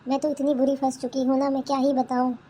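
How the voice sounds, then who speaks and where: Woman doing a mouthy young bahu, high pitched, always complaining and talking back, cartoon, some background noise. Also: flat delivery, fast, mellow, natural voice. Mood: exasperated. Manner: indignant, complaining, talks back. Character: sassy, defiant young newlywed daughter-in-law in a village. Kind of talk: Hindi cartoon family comedy dubbing.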